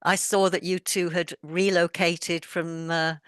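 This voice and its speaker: An older British woman, an author, answering interview questions in an articulate, measured way, with a mixed accent carrying traces of Welsh and Yorkshire. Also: lowish voice, little pitch movement, clear, resonant tone, dry recording, clean studio sound. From England, raised moving with an army family. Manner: articulate, measured, warm storytelling. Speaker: author, guest. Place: at home in Provence, France.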